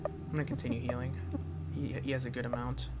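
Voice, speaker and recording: A man casually commenting on his own gameplay, rambling, chatting to the enemies and breaking into sudden excited outbursts. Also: mid-range voice, fast, heavy background noise. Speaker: let's play gamer. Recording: loud game music behind.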